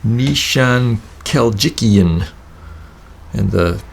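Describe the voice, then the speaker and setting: A man with a low, creaky voice chats casually about old records. He sounds hesitant and understated, and there is some background noise on the recording. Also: animated, drawn-out words.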